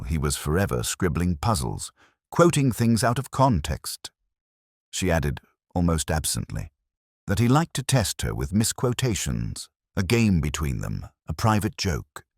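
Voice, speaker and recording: A man reading scripted literary detective fiction in the first person, in a measured, brooding and formal manner. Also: a bass voice, no room sound, studio quality. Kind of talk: scripted literary audio drama narration.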